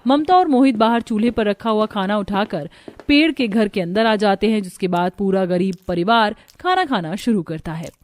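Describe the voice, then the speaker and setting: Mid-pitched woman narrating a story. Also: animated, normal speed.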